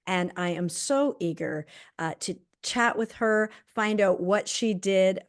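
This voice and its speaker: A woman with a mid-range voice hosts the interview. She talks enthusiastically and chats freely. She fills her sentences with "uh" and "you know.". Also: mid-range voice. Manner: enthusiastic, chatty, with fillers. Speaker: host and doctor. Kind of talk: interview hosting.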